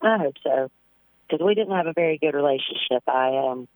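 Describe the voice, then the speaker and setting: A young woman calling in to a radio show, speaking in a halting, earnest, hesitant way. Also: low-pitched, animated, a little mellow, no echo, low-quality recording. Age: young adult. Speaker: caller.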